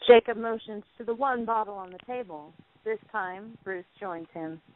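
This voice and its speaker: A woman's voice: mid-range voice, normal speed. A woman reading stage directions as scripted narration for a play. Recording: tinny narrowband phone-like line.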